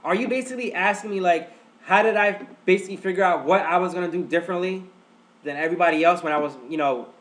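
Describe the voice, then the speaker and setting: A man's voice: high-pitched, fast, fairly bright tone. A man with a stuffed-up nose hosting a live webinar, chatty and repetitive, often calling his listeners guys. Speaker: webinar host. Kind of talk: casual live webinar teaching.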